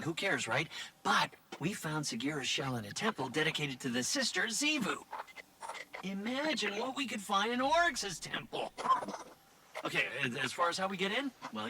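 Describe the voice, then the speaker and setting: An actor playing an eager, shifty little robot informant in a video game, stammering and repeating words excitably. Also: male voice, fairly high pitch, heavy background noise. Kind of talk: animated game dialogue.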